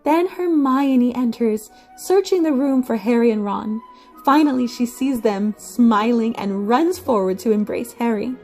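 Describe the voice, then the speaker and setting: A woman narrates a fantasy audio drama in a scripted, dramatic storytelling style. Her voice is fairly high and soft-edged, over faint background music. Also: expressive, a little slow.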